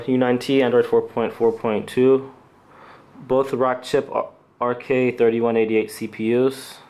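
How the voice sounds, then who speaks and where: A man with a medium-pitched voice hosts an unscripted tech review. He talks off the cuff, halting and hesitating as he works through his commentary. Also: darkish tone. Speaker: tech reviewer and channel host.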